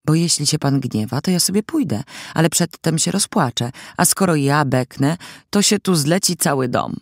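Impish sixteen-year-old girl played by a male reader, talkative and theatrical, pitched up and very animated, for an audiobook. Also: normal speed, no echo, clean studio sound. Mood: pleading. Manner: lively, emphatic, theatrical. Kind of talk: audiobook character dialogue.

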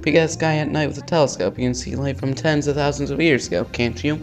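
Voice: mid-range voice, quick pace, faint music behind. A let's player reading visual novel text aloud in an informal way, stumbling over words and dropping in casual asides.

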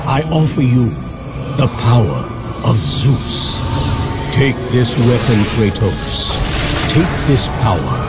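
A man's voice: medium pitch, big pitch swings, slow. A man acting a mighty, solemn old king of the gods in a game cutscene, with a grand, commanding manner. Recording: loud music behind.

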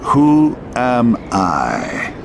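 An actor playing a weary, urbane old spymaster in a video game, musing theatrically and cryptically in drawn-out phrases. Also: male voice, medium pitch, wildly swooping pitch, noisy background, full character voice. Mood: solemn, cryptic. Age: older. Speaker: mysterious spymaster. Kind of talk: video game cutscene dialogue and narration.

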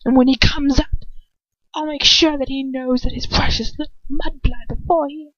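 A woman reading aloud and voicing a cruel, deranged male dark wizard who sneers and hisses, in a dull, dry recording. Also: steady tone, moderate pace, no echo. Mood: sneering. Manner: shrill, sneering, hissing. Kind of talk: amateur fan fiction reading. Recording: dull muffled sound.

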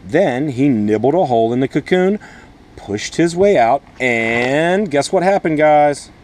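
A man's voice: a little high, expressive, a little slow, a little mellow, a little hiss or hum. Man teaching informally, in simple words. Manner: explains step by step in simple words. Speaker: schoolteacher host. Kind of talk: read-aloud and informal lesson addressed to children and parents. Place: inside a small plastic-sheeted wooden greenhouse.

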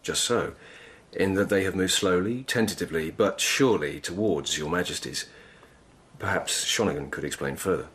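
An actor playing a grave, courteous palace lieutenant in a radio drama, measured and formal in manner. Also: male voice, deep voice, a bit bright, slightly rough. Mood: deferential.

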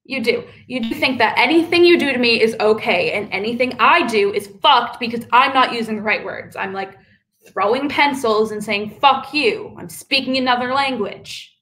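A woman performing a dramatic monologue as a furious young ex-waitress, ranting fast and swearing. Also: a little high, a bit bright, lightly acted. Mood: angry. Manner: angry, rapid, profane ranting. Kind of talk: live contemporary dramatic monologue.

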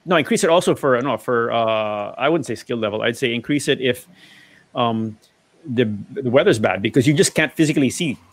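A man's voice: medium pitch, a little fast. A middle-aged man in a casual panel discussion about driving, explaining at length and often restarting sentences, on a video call from a home study. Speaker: motoring panelist.

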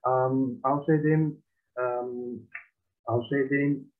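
A man's voice: medium pitch, steady tone, extremely slow. A man in his twenties with a Turkish accent, practicing a German speaking exam with many hesitations. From Turkey. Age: 20s. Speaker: language learner. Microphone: video call. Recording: tinny phone-like online call.

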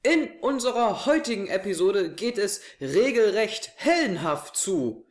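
A man's voice: sits high, very animated, measured pace. A young man hosting a scripted review to camera from his living room. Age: young adult. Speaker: host. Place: small living room, on a sofa. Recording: echoey room, camera mic.

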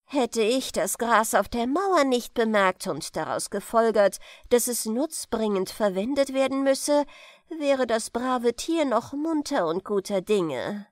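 A woman's voice: a little high, animated, takes it slowly, no echo, studio quality. A woman voicing an earnest, foolish small-town male mayor in a storybook, solemn and self-important. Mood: contrite, solemn.

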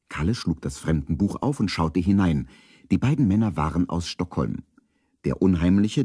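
A man's voice: low voice, dark tone, breathy edge, dry recording, studio quality. A man narrating a scripted children's radio play as a storyteller.

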